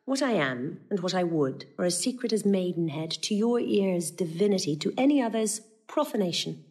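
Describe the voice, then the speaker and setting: A woman's voice: on the low side, lively intonation, normal speed, a little mellow, lightly acted. An actress playing a saucy, eloquent young woman disguised as a male messenger, in a declamatory, courtly classical Shakespearean style. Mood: bold, persuasive. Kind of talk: classical stage acting of Shakespeare.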